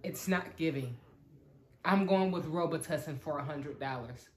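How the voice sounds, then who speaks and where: A woman's voice: deep voice, moderate pace, a little mellow. A young woman bantering with her mother, pestering and playful in tone. The sound is roomy, with echo off the walls of the house. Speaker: host's adult daughter. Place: indoors at a family home. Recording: echoey room.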